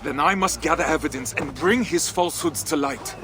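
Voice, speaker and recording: An actor playing a proud, earnest Viking trader and husband in a video game cutscene. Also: male voice, fairly high pitch, a little fast, background noise, close to an everyday voice. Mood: resolute. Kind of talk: dramatic game cutscene acting. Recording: music behind the voice.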